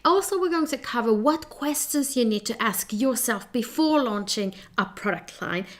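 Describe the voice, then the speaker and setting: A woman with a Finnish accent talks through a business workshop in a clear, steady way. Her voice sits a little higher than most women's. She sounds like a presenter in her thirties. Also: normal speed. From Finland.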